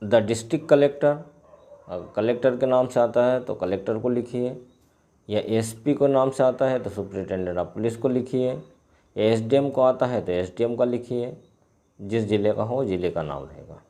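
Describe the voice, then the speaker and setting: An Indian man gives a lesson in a soft, mellow voice. His pace is slow and deliberate. As a Hindi-speaking teacher, he reads out phrases, repeats them and then explains them. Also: medium pitch. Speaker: English teacher. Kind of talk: line-by-line bilingual lesson, reading and translating.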